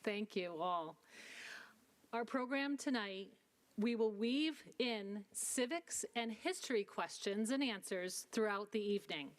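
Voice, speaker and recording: A woman's voice: medium pitch, unhurried, slight background noise. A woman hosting a citizenship ceremony in a school hall, encouraging and upbeat. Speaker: ceremony host.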